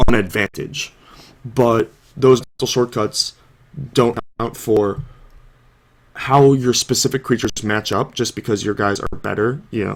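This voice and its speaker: A young man with a sore throat, casually talking through a card game match over the footage, thinking aloud with lots of "like" and "you know". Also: lowish voice. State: sore throat, coming down with a cold. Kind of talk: casual video commentary.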